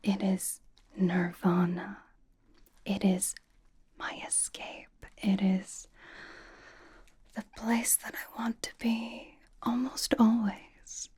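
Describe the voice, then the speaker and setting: A woman playing a cocky young female pop idol in an ASMR roleplay, whispering breathily with halting, teasing, dramatic emphasis. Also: medium pitch, fairly even intonation, darkish tone. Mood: reverent, grandiose.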